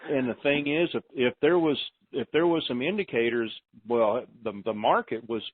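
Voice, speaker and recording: A man's voice: mid-range voice, expressive, normal speed, dry recording. An American man hosting an informal conference call, talking to callers and repeating words for emphasis. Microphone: telephone. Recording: narrow, tinny phone line.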